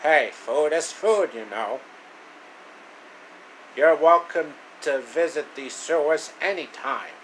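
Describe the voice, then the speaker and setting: Man doing a slow, chummy wisecracking sewer rat. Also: fairly high pitch, poor audio. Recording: steady air-conditioning noise.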